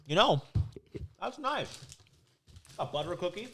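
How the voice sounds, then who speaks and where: Male co-host, animated intonation, chatty and playful, informal food-review banter. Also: high voice, a little slow, a little hiss or hum. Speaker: podcast co-host. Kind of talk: casual chat reviewing snacks.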